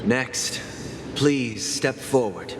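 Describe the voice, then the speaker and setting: A man playing a composed young religious leader in a video game, speaking in a measured, formal and pious manner in a reverberant hall with music. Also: medium pitch, a little hiss or hum. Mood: serene. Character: solemn, composed young male religious leader hearing confessions. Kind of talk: dramatic video game acting. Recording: long hall reverb under loud music.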